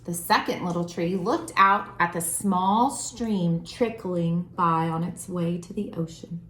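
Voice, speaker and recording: A woman reading a children's storybook aloud as a storyteller, with expressive, deliberate phrasing. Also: fairly low pitch, a little hiss or hum. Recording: roomy, echoing room sound.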